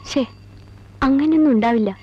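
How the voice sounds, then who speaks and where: A middle-aged actress playing a calm, reassuring aunty and family friend in a Malayalam film drama. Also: female voice, high voice, fairly quick, close to an everyday voice. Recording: dull old film soundtrack with music.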